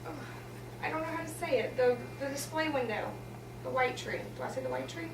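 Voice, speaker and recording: A woman's voice: high voice, fairly quick. A thirty-eight-year-old woman from Georgia introducing herself as the mom in a casual home video, hesitant and searching for words. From Atlanta, Georgia, USA. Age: 30s. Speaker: mother interviewed about home Christmas lights. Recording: roomy echo, from some distance, faint hum.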